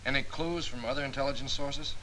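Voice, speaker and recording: An actor playing a skeptical, probing intelligence officer in a 1940s training film, questioning dryly. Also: male voice, mid-range voice, fairly even intonation, a little fast, bright, forceful tone, lightly acted, low-quality recording. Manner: dry, questioning, slightly wry. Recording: 1940s film soundtrack with faint hiss.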